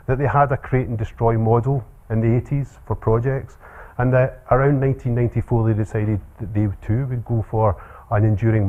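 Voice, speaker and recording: A man with a Scottish accent giving a relaxed academic seminar talk with frequent ums and uhs. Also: lowish voice. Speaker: lecturer. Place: seminar room. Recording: tinny phone-like sound.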